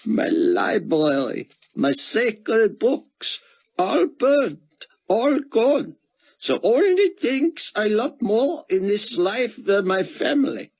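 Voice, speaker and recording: A man putting on a squeaky, choked Israeli scholar in grief. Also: very animated, slow, husky, heavily acted. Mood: grieving. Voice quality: high, squeaky, constricted throat. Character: grieving middle-aged male Israeli scholar, broken.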